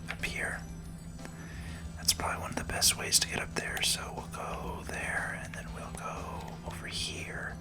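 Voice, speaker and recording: A person whispering calm, unhurried ASMR commentary over a video game playthrough in a soft, breathy voice. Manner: calm, unhurried, gently explanatory. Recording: music behind the whisper. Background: game music.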